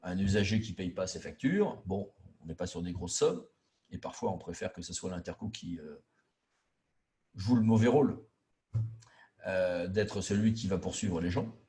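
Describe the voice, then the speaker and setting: A man's voice: deep voice, moderate pace, pauses often, mellow. A man giving an informal training lecture as a trainer, colloquial and didactic, stressing key words. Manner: colloquial and didactic, acting out imagined quotes. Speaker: trainer lecturing local officials on intermunicipal law.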